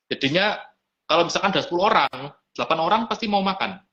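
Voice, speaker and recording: A man's voice: fairly high pitch, lively intonation, quick pace, pushed and bright. A man, a restaurant owner explaining his business in an easygoing chat, sprinkling in English business words. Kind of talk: casual interview chat. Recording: lo-fi live stream audio.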